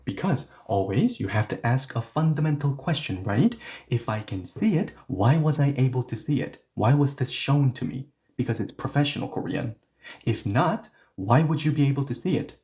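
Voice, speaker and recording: A man giving an online language lesson with a mid-range voice, neither high nor low. He explains patiently, leans on "okay, so" and "yeah", and moves between explanations and slow, careful example readings. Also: animated, a little mellow. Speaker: Korean language teacher.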